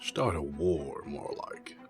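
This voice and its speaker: Man playing deep-voiced bookish young mutant. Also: slow pace, soft background music. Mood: concerned. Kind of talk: audio drama acting.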